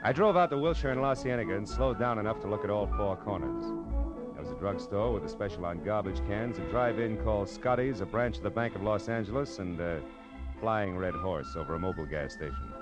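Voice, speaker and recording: Actor as low-voiced hardboiled American private eye. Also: male voice, a little fast, lightly acted. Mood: wry narration. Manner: hardboiled, wry. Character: wry, hardboiled male private detective in Los Angeles. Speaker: lead actor and narrator in a radio detective drama. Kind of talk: late-1940s American radio noir acting. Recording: vintage radio drama with music behind.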